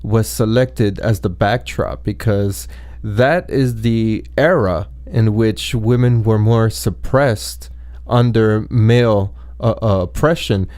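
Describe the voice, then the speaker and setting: Male podcast host, lowish voice, dry close sound, formal and halting as he poses questions. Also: steady tone, darkish tone, no room sound. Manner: formal wording, halting delivery. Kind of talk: podcast interview.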